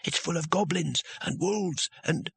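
A jumpy young male wizard, put on by a man reading a fantasy audiobook. His voice is pitched well up, and he sounds anxious and full of doubt. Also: measured pace, gravelly, a bit bright, slightly rough, dry recording, full character voice. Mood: nervous. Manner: anxious, questioning.

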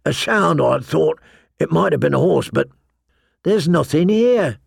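A man's voice: fairly high pitch, animated, measured pace, gravelly, husky, no room sound, clean studio sound, exaggerated voice. A man voicing a commanding young ringleader of boy recruits in an audiobook, challenging and intoning. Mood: puzzled.

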